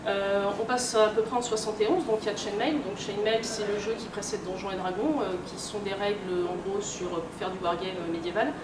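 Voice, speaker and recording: Female lecturer, conversational and hesitant, mid-pitched, recorded at a distance with clear background noise. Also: steady tone, fairly quick, hardly any pauses. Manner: hesitant, filler-heavy, conversational delivery. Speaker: lecturer, games researcher and editor. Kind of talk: informal conference talk. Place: indoor convention talk room. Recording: roomy, noisy convention room, distant mic.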